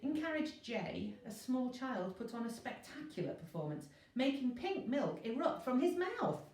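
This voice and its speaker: A woman, a teacher, reading a children's novel aloud in an expressive storytelling style. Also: fairly high pitch, normal speed. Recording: roomy echo, distant microphone.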